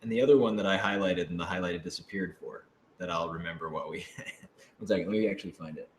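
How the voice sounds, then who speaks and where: An echoey room recording with some faint noise behind a man's fairly low voice. He chats off the cuff in a playful, informal way, like an actor taking questions. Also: quick pace. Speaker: voice actor on a radio drama. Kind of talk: casual unscripted Q&A chat.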